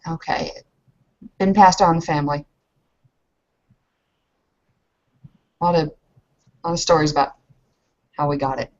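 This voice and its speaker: A woman playing a proud, guarded young fighter in a tabletop game, talking in a halting, earnest way. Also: deep voice, a little mellow, natural voice. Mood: guarded, confiding. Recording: muffled lo-fi voice recording.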